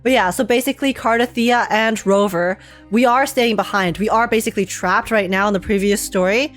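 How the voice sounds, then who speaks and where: A woman giving excitable, slangy, casual commentary as she streams and reacts to a video game's story. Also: medium pitch, fairly quick, faint music behind. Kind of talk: casual gaming livestream commentary.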